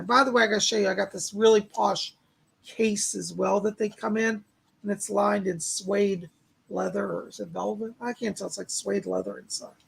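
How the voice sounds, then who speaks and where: A man with a raspy, tired voice, speaking to viewers as the host of a psychic medium live stream. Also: high-pitched, poor audio. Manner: chatty, exclaiming, addresses viewers by name.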